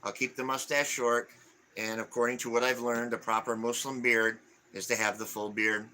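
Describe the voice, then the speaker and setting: Bright-voiced man in his forties. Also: medium pitch, little pitch movement, rough recording. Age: 40s. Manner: reads viewer comments aloud, halting with fillers. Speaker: live stream co-host. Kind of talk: casual live stream chat.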